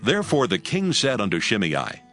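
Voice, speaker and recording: A man narrating scripture from a script in a measured, solemn storytelling manner. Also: lowish voice, huge pitch swings, a bit bright, faint music behind.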